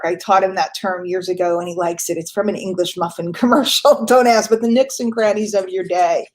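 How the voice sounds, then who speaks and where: A woman's voice: mid-range voice, darkish tone. A woman hosting a daily motivational business call, a little stuffy from a cold, speaking earnestly and repeating key points. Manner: casual, conversational, with fillers and self-corrections. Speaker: host.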